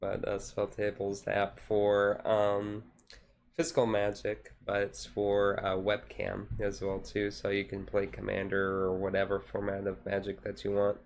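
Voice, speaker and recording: A man chatting casually on a chill livestream, rambling and halting as he goes. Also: fairly low pitch, monotone, gravelly. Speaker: streamer.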